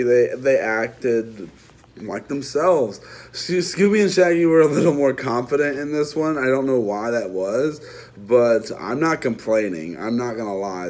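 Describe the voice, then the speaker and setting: A man's voice: mid-range voice, darkish tone. A man giving a casual, unscripted movie review, rambling through restarts and side remarks while talking straight to his viewers. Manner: rambling, lots of restarts and trailing asides; chatty, addresses viewers directly.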